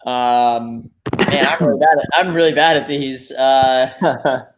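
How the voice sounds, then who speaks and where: A man's voice: medium pitch, steady tone, slow pace, slight background noise. A man from Florida with an American accent, chatting informally as an interview guest and thinking aloud. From Florida, United States. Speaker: fishing charter captain, interview guest. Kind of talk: casual chat.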